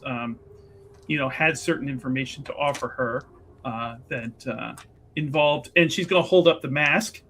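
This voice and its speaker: A man improvising casual, halting and wordy narration as a player in a tabletop roleplaying game. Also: medium pitch, bright, forceful tone, a little hiss or hum.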